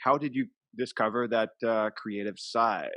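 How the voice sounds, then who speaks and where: A man's voice: fairly low pitch, steady tone, moderate pace, a bit bright, no echo. A man hosting a podcast in casual chat, eager and encouraging toward his guest. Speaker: podcast host.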